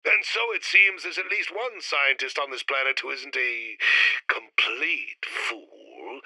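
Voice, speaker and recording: A theatrical mad-scientist villain of a retro radio serial, pompous and easily irritated. The voice is raspy and piercingly bright, with wildly swinging pitch and bombastic, melodramatic delivery. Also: male voice, dry recording, full character voice, low-quality recording. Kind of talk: retro sci-fi radio play acting.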